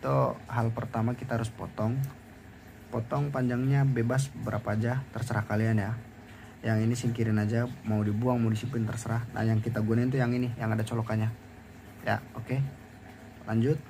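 A man's voice: on the low side, a little mellow, slight background noise. A young man with a Jakarta accent giving a casual, unscripted how-to in informal slangy talk. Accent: Jakarta Indonesian. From Indonesia. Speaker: motovlogger giving a DIY tutorial. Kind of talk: casual unscripted tutorial chat.

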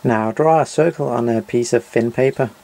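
A man's voice: medium pitch, moderate pace, creaky, dark tone. A man narrating a step-by-step DIY tutorial in short, simple, matter-of-fact instructions.

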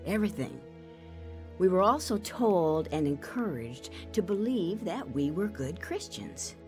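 A grown woman giving scripted first-person testimony in an audio drama. She narrates in a measured, reflective way, with clear music underneath. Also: on the low side, very animated. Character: grown woman recounting her abusive childhood.

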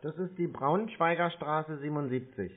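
A man in his twenties on a phone call, dictating digits deliberately one at a time. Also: fairly high pitch. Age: 20s. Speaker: call recipient baiting a scammer. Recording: tinny phone line.

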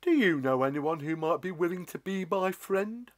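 A man's voice: high voice, expressive, moderate pace, pitched above the performer's own voice. A man voicing a lonely, bashful giant in a children's storybook, speaking politely and timidly, as if pleading. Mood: shy, pleading.

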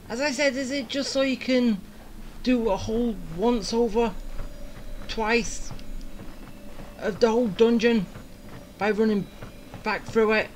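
A woman's voice: on the high side, a little hiss or hum. A woman giving casual commentary as the host of a game playthrough.

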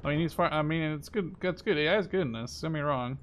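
A man in his twenties giving casual, sweary gameplay commentary, thinking out loud as he plays. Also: fairly high pitch, fast, slight background noise. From Netherlands. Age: 20s. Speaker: video game streamer. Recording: gunfire and explosions from game behind. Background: war game artillery and gunfire.

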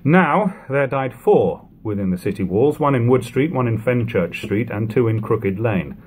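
A man in his thirties reading a classic novel aloud at home, in a measured, steady way, letting sentence ends fall quiet. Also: mid-range voice, a little hiss or hum. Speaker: audiobook reader. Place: living room at home.

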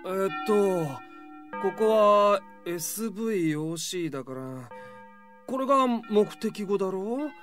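A man playing an easygoing, teasing seventeen-year-old high school hero in an anime-style audio drama. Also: expressive, takes it slowly, music in the background. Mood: studious.

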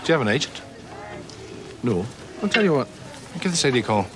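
A man playing a slick, fast-talking TV man. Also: mid-range voice, sing-song, natural voice. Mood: glib. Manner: quick, glib patter. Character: slick male TV personality, smug and fast-talking. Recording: outdoor sitcom scene with noise.

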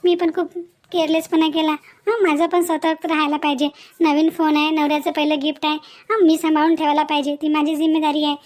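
A woman voicing a soft-hearted, conscientious young wife in a cartoon domestic story, speaking gently. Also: sits high, level tone, a little fast, lightly acted. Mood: conciliatory.

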